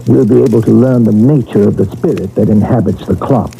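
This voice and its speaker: Mellow-voiced, earnest paranormal investigator. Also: male voice, fairly low pitch, a little fast, creaky. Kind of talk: old-time radio mystery acting. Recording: noisy old radio broadcast recording.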